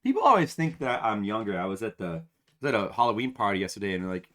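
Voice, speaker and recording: A man's voice: lowish voice, very animated, fast talker, a bit bright. A man in his forties, a game streamer, chatting casually and ramblingly to his viewers at home, with self-mocking humor. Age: 40s.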